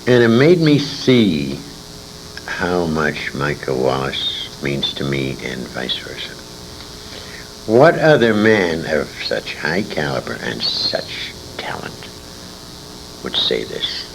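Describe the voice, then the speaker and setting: A man in his sixties telling stories in an interview, speaking emphatically and dramatically with pauses. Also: very deep voice, sing-song, gravelly. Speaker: interviewee, veteran radio actor. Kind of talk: conversational storytelling in an interview. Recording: hissy, humming 1980s amateur convention tape.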